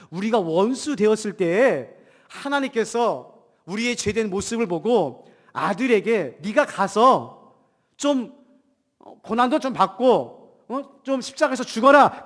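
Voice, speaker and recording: A man preaching a church sermon as pastor with a cold, emphatic, swinging from loud declarations to hushed asides and putting questions to the congregation. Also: very high-pitched. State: has a cold. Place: church hall during a service. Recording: long hall reverb in church.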